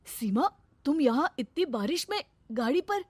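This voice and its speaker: A woman's voice: sits high, very animated, measured pace, darkish tone, dry recording. A woman voicing an earnest young husband and dutiful son in a moral story, plain-spoken. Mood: surprised. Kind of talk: moral story cartoon voice acting.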